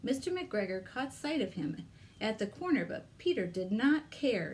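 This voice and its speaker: A woman telling a story to young children as a librarian at storytime, expressive, with chatty and sometimes whispered asides to her listeners. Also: fairly high pitch. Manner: asks young listeners simple questions. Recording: noticeable background noise.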